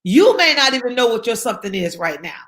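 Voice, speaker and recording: A woman's voice: fairly high pitch, sing-song, quick pace, a bit bright. A woman from South Carolina, speaking as an interview guest in an emphatic, encouraging way and repeating her key phrases for effect. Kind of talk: motivational interview talk.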